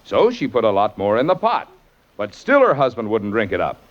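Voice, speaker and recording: A man's voice: on the low side, wildly swooping pitch, a little fast. A vintage radio announcer delivers the ad with persuasive, emphatic salesmanship. His voice leaps dramatically up and down, and the sound is muffled the way old broadcasts are. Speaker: commercial announcer.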